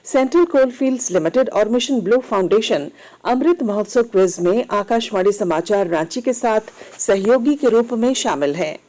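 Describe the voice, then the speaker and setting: A woman's voice: mid-range voice, lively intonation, darkish tone, rough recording. Formal woman reading radio news. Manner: formal, measured announcing. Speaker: radio newsreader. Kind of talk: scripted news bulletin reading.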